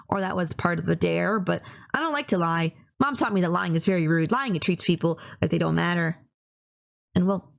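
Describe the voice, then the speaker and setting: A woman's voice: fairly low pitch, creaky. A woman playing a sweet, polite young yandere who is lovestruck and bashful, in a romantic audio roleplay, earnestly rambling to the listener with small stammers. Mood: matter-of-fact, rambling.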